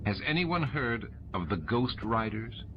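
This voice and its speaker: A man reading an audiobook, voicing a calm, authoritative male station commander in a measured way. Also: mid-range voice, highs cut off, noisy background.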